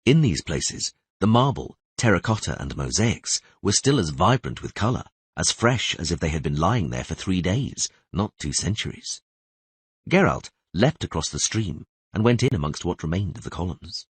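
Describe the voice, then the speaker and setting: A man's voice: low-pitched, sing-song, moderate pace, husky, no room sound. A man reading scripted fantasy narration as an audiobook narrator.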